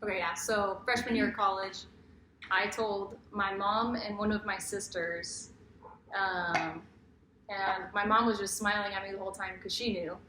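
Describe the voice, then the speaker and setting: A woman's voice: mid-range voice, fairly even intonation, unhurried. Young American woman in an echoey room. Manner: informal, candid. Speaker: vlogger, co-host of couple's Q&A. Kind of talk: casual chat, personal storytelling. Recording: echoey room, faint background noise.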